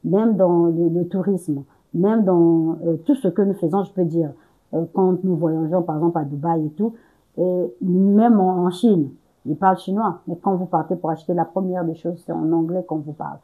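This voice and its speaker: A woman's voice: on the low side, fairly even intonation, normal speed, phone-quality sound. A young woman from Congo answering interview questions, hesitant, searching for words with many fillers and restarts. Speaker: entrepreneur interview guest.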